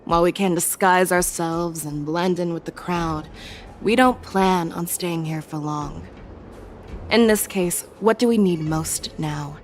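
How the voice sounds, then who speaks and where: A woman voicing a composed, shrewd general in a video game, speaking in a commanding, measured, instructive way. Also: on the low side, slight background noise, close to an everyday voice. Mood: resolute. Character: composed, shrewd female general, a seasoned hunter. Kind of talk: dramatic video game voice acting.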